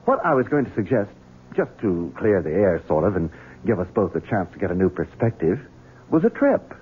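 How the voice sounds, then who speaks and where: A scheming gentleman who coaxes with honeyed words and snaps into orders. His voice sits low and breathy with lively swoops in pitch. It comes through a narrow, phone-like old radio broadcast. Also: brisk, heavily acted. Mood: wheedling. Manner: honeyed, wheedling, turns commanding. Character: scheming Southern gentleman, smooth and sinister. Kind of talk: 1970s radio drama acting.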